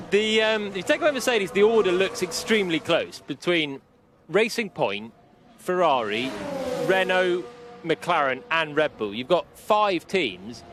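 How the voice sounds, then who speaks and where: A man giving live analytical motorsport commentary as a co-commentator, with car noise behind him. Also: high voice.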